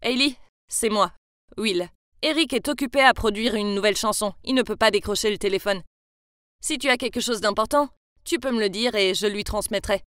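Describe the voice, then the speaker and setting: A woman voicing a calm young man who answers the phone for a friend, speaking in a measured way. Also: medium pitch, dry recording, studio quality, pitched below the performer's own voice, close to an everyday voice.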